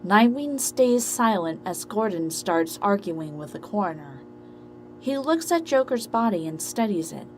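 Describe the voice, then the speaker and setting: A woman's voice: medium pitch, very animated, a little slow, a little hiss or hum, rough recording. A woman reading scripted narration for an amateur audio drama story.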